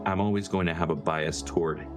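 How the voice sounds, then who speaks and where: A man's voice: low voice, fairly even intonation, brisk. A man playing a tabletop game, casually and tentatively reasoning aloud about the characters. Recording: soft music behind the voice. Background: music.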